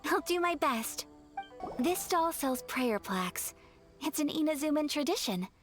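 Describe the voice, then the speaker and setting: An actress playing a gentle, refined young noblewoman in a video game, speaking formally and earnestly. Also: high voice, expressive, a little slow. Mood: polite. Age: young adult. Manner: formal, polite, softly earnest. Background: soft game music.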